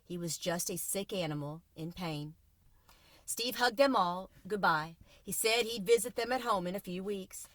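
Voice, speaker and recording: Bright-voiced woman reading a story aloud. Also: medium pitch, normal speed, no room sound. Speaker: read-aloud host. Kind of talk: children's audiobook read-aloud.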